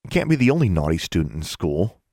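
A man's voice: sits low, very animated, fast talker, a little mellow, no room sound, clean studio sound, exaggerated voice. A man voicing a determined, caring schoolgirl in an audiobook, earnest and direct. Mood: friendly. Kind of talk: audiobook character voice.

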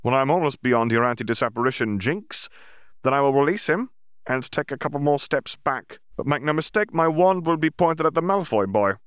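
A cornered dark wizard bargains his way out, his voice pitched up high and pushed hard with defiance, as a man acts him out. He sounds sharp and mocking, and the reading is dry and close. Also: lively intonation, a little fast, clean tone, no echo, lightly acted. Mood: bargaining.

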